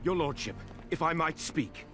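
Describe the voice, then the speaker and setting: A man's voice: fairly high pitch, expressive, moderate pace, natural voice. An actor playing a defiant, principled nobleman in a fantasy game, speaking formally and pointedly. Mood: pointed, accusing. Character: defiant, principled noble lord challenging a regent. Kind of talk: dramatic fantasy game acting. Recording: loud music behind.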